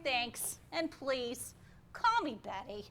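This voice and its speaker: An actress playing an eager woman hairstylist in a staged comedy reading, with theatrical, expressive delivery. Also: high-pitched, slow pace, heavily acted. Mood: sheepish. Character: Betty, eager woman hairstylist feigning a posh British accent.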